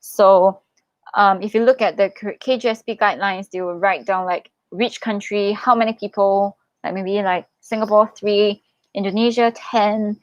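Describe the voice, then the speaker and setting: A young adult woman hosting a casual live Q&A, reading out questions and giving chatty, expressive, reassuring advice. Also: mid-range voice, normal speed, no room sound, muffled recording. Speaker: livestream host, international student in Korea.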